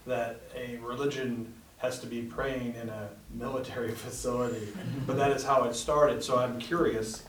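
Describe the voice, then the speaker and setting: A man's voice: mid-range voice, steady tone, normal speed, few pauses. A middle-aged man asking curious, reflective questions in a casual interview. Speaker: visiting questioner. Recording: roomy, distant mic, background noise.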